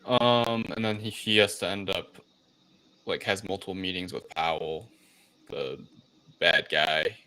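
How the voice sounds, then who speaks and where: Male co-host, deep pitch, bright tone, halting casual chat. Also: fairly even intonation, low-quality recording. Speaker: podcast co-host. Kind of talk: casual movie review chat.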